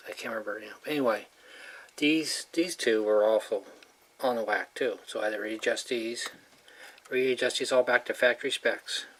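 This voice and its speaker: Rambling hobbyist in a noisy home recording. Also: mid-range voice. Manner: rambling, informal, trailing off mid-thought. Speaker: hobbyist showing a radio repair. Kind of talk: casual hands-on video narration. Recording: clearly noisy amateur handheld recording.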